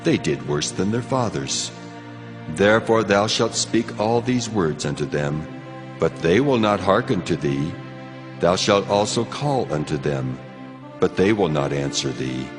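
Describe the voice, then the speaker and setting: A man's voice: low voice, lively intonation, a little slow, over music. A man playing a stern, wrathful God in a dramatized scripture reading, solemn and commanding in archaic English. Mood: grieved, stern. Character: God, solemn, stern, wrathful male deity rebuking his people.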